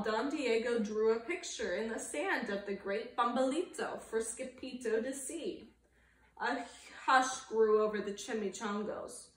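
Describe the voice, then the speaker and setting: A young woman narrating a children's picture book as a preschool storytime reader, with asides to the listeners. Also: fairly high pitch. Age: young adult. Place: living room, indoors. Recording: roomy sound from camera distance.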